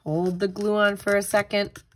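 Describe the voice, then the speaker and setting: A woman's voice: medium pitch, little pitch movement, moderate pace, slight background noise. A woman tutor reading aloud and giving simple step-by-step instruction for young children. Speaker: tutor reading a picture book and leading a craft.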